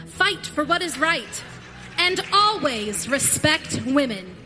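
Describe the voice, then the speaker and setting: A woman's voice: high voice, very animated, slow, background noise. A woman announcer reading a scripted sign-off for a live radio theater show. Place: theater stage. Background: music.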